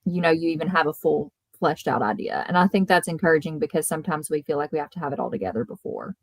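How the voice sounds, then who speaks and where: A woman's voice: sits low, fast, no room sound. A woman taking part in a casual book discussion, speaking informally and tentatively as she thinks aloud.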